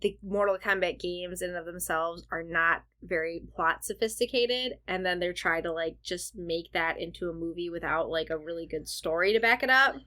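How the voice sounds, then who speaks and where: A woman's voice: fairly low pitch, moderate pace, few pauses, pushed and bright. A woman co-hosting a podcast, asking probing, pointed questions in casual chat. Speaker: podcast co-host.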